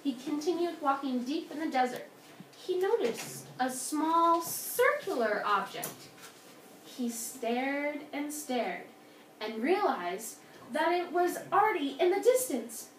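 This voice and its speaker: A woman narrating a folktale in an expressive, dramatic storybook style with emphatic delivery. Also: sits high, takes it slowly. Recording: roomy, distant mic, faint noise.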